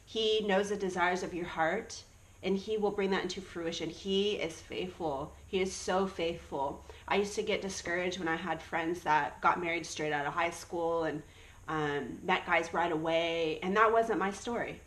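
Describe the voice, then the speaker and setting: Woman in her thirties, personal testimony, earnest and encouraging, lowish voice. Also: moderate pace, low-quality recording. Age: 30s. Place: Australia.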